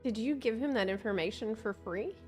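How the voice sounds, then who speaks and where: Girl streamer muttering thru a game, kinda high voice, puzzled, faint music behind. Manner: thinking aloud, puzzled, halting. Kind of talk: casual live playthrough commentary and dialogue reading. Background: video game music.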